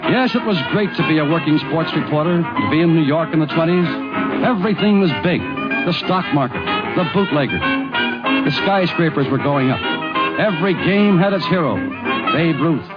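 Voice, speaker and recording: A man's voice: on the high side, animated, normal speed, over loud music. A man narrating a scripted 1950s radio documentary. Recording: muffled vintage 1950s radio broadcast.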